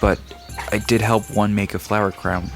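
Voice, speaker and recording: A man's voice: fairly low pitch, fairly even intonation, moderate pace, gravelly, over music. A man playing a mild, apologetic park guide in an audio drama, talking casually and a little hesitantly. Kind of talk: conversational audio drama acting.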